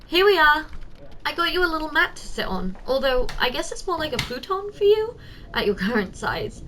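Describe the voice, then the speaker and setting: A woman playing a giant, doting, teasing office secretary in an ASMR roleplay, speaking softly and coaxingly straight to the listener. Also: high-pitched, very animated, normal speed, background noise. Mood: helpful, attentive. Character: giant, doting, teasing female office secretary, tender toward tiny boss.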